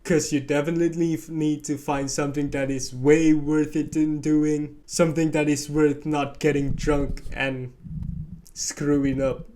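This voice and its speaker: A man's voice: fairly high pitch, flat delivery, measured pace, mellow. A young man from Indonesia, giving casual vlog commentary in a candid, sarcastic way with exclaiming asides. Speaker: vlogger. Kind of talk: casual vlog commentary over on-screen data.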